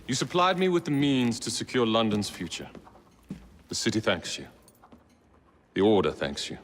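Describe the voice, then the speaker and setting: Imperious low-voiced Victorian villain in a hall. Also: male voice, wildly swooping pitch. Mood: grave, imperious. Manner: measured, oratorical, pompous. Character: grand, cold Victorian Templar master, commanding and formal. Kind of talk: dramatic game cutscene acting. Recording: long hall reverb, faint noise.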